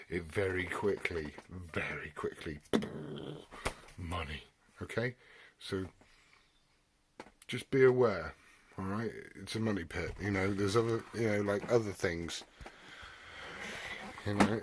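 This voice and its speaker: Low-voiced British man over clear background noise. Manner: rambling, blunt, sweary. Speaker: hobbyist vlogger. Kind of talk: casual unscripted chat.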